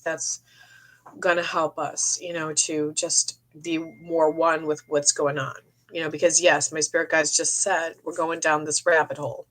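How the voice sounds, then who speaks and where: A middle-aged woman with a cold, losing her voice, chatting in a meandering way on a live stream and often clearing her throat. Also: deep voice, a bit bright, poor audio. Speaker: psychic medium streaming live. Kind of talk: rambling live-stream chat. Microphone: phone or webcam for a live stream.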